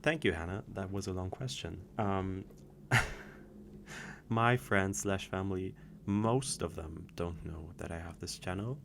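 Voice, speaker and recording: A man's voice: low voice, slow, creaky, fairly bright tone, slight background noise. A man chatting casually through viewer questions, rambling and hesitant with many restarts. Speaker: audio creator answering viewer questions. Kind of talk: casual Q&A monologue.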